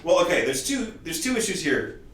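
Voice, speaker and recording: A Canadian man co-hosting a dating advice live stream, upbeat and quick to laugh in casual banter. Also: fairly high pitch, sing-song, fairly bright tone. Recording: roomy echo.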